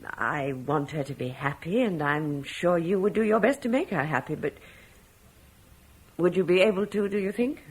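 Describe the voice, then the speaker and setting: A woman's voice: fairly low pitch, animated, moderate pace, husky. An actress playing a careful, worried middle-aged mother in a classic radio drama. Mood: gentle. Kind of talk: 1950s radio drama acting.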